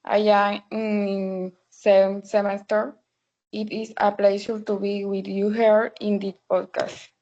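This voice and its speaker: A woman of twenty with a Colombian Spanish accent, giving a prepared account in halting phrases. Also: mid-range voice, little pitch movement, a little mellow, highs cut off. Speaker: podcast panelist, language student.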